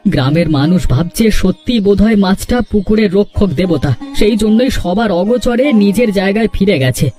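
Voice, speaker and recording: Man acting a high-voiced, earnest younger brother. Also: brisk, warm tone, faint music behind, lightly acted. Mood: troubled. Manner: earnest, pleading. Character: pleading younger brother, troubled husband. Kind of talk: Bengali cartoon voice acting.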